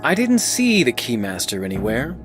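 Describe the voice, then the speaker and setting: A man's voice: medium pitch, huge pitch swings, moderate pace. A man voicing a chatty, easygoing runaway asylum inmate in a cartoonish adventure game. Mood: casual. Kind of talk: cartoonish game character acting. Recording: background music under the voice.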